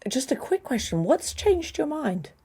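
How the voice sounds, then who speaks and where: A woman moderating a policy debate, summarizing arguments and posing questions in a measured way. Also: high voice, sing-song, dark tone.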